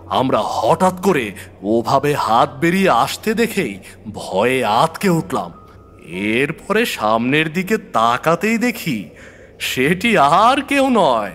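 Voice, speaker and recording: A man's voice: medium pitch, fairly bright tone, slight background noise. A man narrating a horror story in the first person in a dramatic storytelling style. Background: background music.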